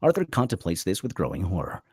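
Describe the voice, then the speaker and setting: A man's voice: low-pitched, very animated, fast talker, a little mellow, husky, no room sound, clean studio sound. A man narrating a screenplay dramatically at a table read.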